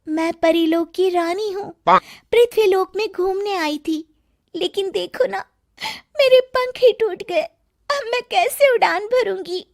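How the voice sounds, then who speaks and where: Woman voicing a plaintive, very high fairy queen. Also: takes it slowly, creaky, full character voice. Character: distressed young fairy queen with a broken wing.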